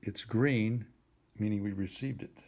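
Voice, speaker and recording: Male instructor, lowish pitch, plain and deliberate, basic step-by-step tutorial delivery. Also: a little mellow. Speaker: instructor helping a phone user. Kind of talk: simple step-by-step spoken tutorial.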